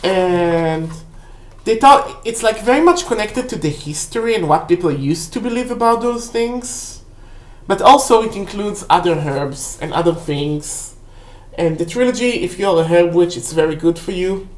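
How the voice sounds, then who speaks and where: A woman, a native Hebrew speaker, casually rambling through a book review for a vlog in a halting, self-correcting way. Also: lowish voice, animated, darkish tone. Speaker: book haul vlog host. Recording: roomy, echoey indoor sound.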